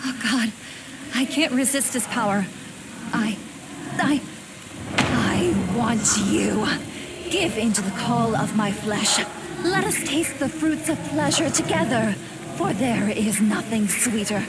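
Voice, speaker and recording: An actress playing a possessed, seductive woman devoted to a dark master, in dramatic video game style with theatrical pleading and menace. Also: a little high, animated, slow, very noisy. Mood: torn, lustful.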